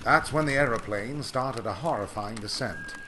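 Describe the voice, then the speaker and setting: A man's voice: medium pitch, normal speed, noisy background, lightly acted. A man playing a proud, curt aviator in old-time radio style, with formal, old-fashioned diction. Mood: grave. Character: proud male aviator home from three lost years, curt, formal. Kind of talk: 1940s radio acting.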